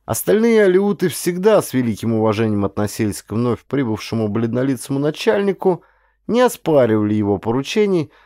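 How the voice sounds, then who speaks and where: A man narrating a historical adventure novel as an actor would, in an expressive storyteller's manner with colloquial asides and drawn-out phrases. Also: medium pitch, dry recording, clean studio sound.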